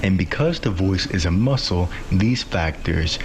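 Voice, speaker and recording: A man calmly narrating an explanatory video essay from a script. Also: low voice, measured pace, a little hiss or hum. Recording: music bed underneath.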